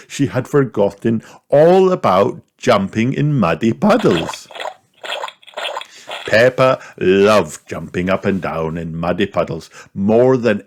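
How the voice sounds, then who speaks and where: A man reading a children's picture book aloud in an expressive storytelling manner. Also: fairly low pitch. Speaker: storybook reader. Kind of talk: scripted children's story narration.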